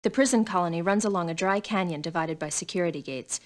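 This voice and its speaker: Military commander played by a woman for a game: slightly low, steady, authoritative, businesslike briefing delivery. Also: quick pace, natural voice. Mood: urgent, steady. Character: composed, authoritative female military mission commander. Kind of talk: video game cutscene acting.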